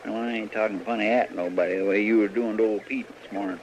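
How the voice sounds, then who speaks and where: A man's voice: lowish voice, steady tone, quick pace, a little mellow, natural voice. An actor playing a good-natured, rambling frontier man with a rural American drawl in a radio western. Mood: mildly scolding. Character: folksy good-natured frontier man, tall-tale teller. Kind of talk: old-time radio western acting. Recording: dull vintage radio recording, faint hiss.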